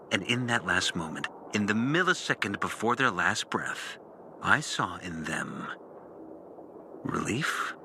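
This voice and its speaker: An actor playing a bitter, disillusioned former priest in a video game, speaking a brooding, deliberate confessional monologue with dramatic pauses. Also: male voice, low-pitched, bright, forceful tone, slight background noise, full character voice. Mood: brooding, reflective. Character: disillusioned former priest, bitter and intense, recounting his lost faith. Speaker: character narrating an audio journal.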